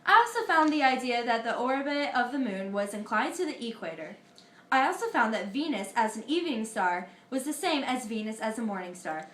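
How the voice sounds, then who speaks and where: Earnest Greek philosopher, played by a teenage girl; high, roomy school-project sound. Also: lively intonation, fairly bright tone. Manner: earnest, recited, a little halting. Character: ancient Greek philosopher Pythagoras, an older man, earnest. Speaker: student in a school history project. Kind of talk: amateur mock interview, reciting facts.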